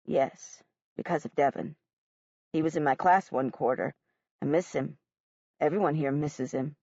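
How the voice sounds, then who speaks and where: A woman voicing a gentle, sorrowful middle-aged male art teacher who speaks softly and slowly. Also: sits low, dry recording, highs cut off, pitched below the performer's own voice. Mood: gentle sad. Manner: soft, slow, sorrowful.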